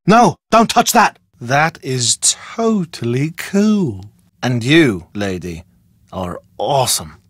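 An actress voicing an excitable, slangy woman adventurer in a mystery video game, with an emphatic delivery. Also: extremely low pitch, slow pace, breathy edge, dry recording, studio quality, exaggerated voice. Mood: awed, emphatic. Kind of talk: video game character dialogue.

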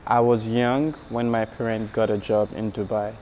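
A man voicing interview answers as an interpreter, in a measured read-out style. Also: on the low side, little pitch movement. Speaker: interpreter voicing a deaf artist's signed answers. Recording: dull, noisy recording.

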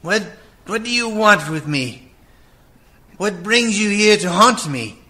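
An actor playing a querulous, fearful old miser in a radio play, hesitant and stammering. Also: male voice, high voice, expressive, slow pace, pushed and bright, exaggerated voice. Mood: wary, grudging.

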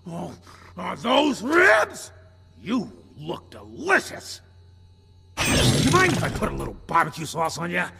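An actor voicing a gluttonous, dim and murderous pig mascot in a horror game, with a childishly greedy manner. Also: male voice, sits high, wildly swooping pitch, slow pace, creaky, pauses often, bright, forceful tone, exaggerated voice. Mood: greedy, hungry. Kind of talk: horror game monster acting. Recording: long hall reverb, some noise.

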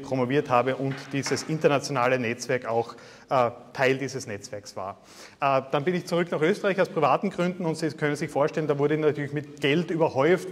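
A man in his thirties with a Styrian Austrian accent, giving a university guest lecture in a chatty, self-deprecating way with many filler sounds. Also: on the high side. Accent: Styrian Austrian German. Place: large lecture hall. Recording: reverberant lecture hall.

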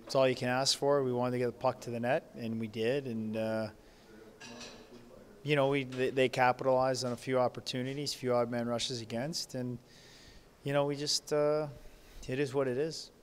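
Flat-voiced coach, halting press answers. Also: male voice, medium pitch. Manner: halting, many fillers and restarts, subdued. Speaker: head coach. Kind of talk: post-game press interview. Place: press room backdrop. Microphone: handheld reporter mics held close.

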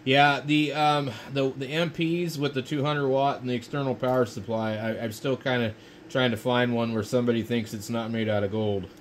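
A man's voice: medium pitch, fairly even intonation, moderate pace. A man with an American accent, chatting casually as an amateur radio operator at an indoor desk. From United States. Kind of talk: casual ham radio chat. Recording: faint radio hiss and room noise.

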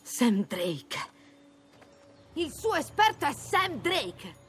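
A woman's voice: high-pitched, big pitch swings, extremely slow, a bit bright, a little hiss or hum, heavily acted. A woman playing a tough, distrustful mercenary in dramatic video game dialogue, terse and blunt. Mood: angry, bitter.

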